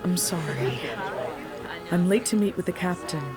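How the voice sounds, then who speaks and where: Actress as young questing leader, formal, composed, slightly low voice, faint music underneath. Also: female voice, normal speed, lightly acted. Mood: firm, apologetic. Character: measured, diplomatic young female leader of questing party. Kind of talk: fantasy audio drama acting.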